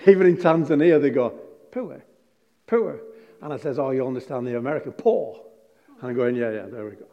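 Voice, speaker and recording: A pastor preaching to a congregation, moving between relaxed, joking anecdotes and stressed scripture. His voice sits in the middle of the male range. Long reverb from a large hall hangs behind every phrase. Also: mid-range voice, normal speed, pauses often, muffled recording. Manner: chatty, jokey storytelling with emphatic scripture reading. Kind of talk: sermon.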